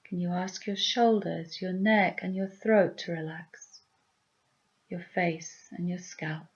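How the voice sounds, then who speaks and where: A woman leading a guided meditation with gentle, soothing, deliberate instructions. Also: on the low side, steady tone, dull audio.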